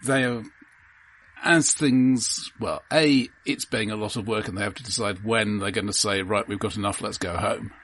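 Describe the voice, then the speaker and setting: A man with a lowish, raspy voice. He speaks as a podcast co-host in casual film talk. His delivery is analytical and halting, often restarting as he works through a point. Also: steady tone, a bit bright, rough recording.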